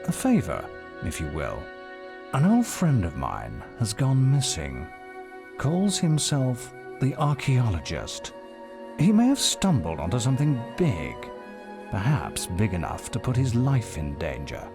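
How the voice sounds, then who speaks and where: A seasoned mentor figure from a fantasy game. His mid-pitched voice swoops dramatically up and down with a knowing, familiar air, over clear background music. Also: wildly swooping pitch, unhurried, heavily acted. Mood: concerned. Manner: theatrical, swooping intonation. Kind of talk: video game character dialogue. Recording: music behind the voice.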